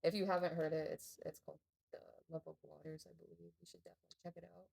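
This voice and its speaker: A woman with a low, mellow voice and lots of vocal fry. She co-hosts an easygoing podcast conversation and gives her views in a thoughtful, hedging way. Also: rapid-fire. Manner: relaxed, thoughtful, offering opinions with hedges. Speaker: podcast co-host. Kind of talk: casual philosophical chat.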